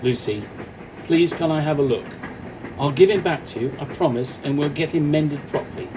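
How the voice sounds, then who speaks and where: A man playing a calm off-duty policeman in a radio play, speaking in a measured, reassuring, authoritative way. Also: natural voice. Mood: probing. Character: Mike, calm, commanding off-duty policeman on a train. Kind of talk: amateur radio play acting. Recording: noisy, train carriage rumble behind.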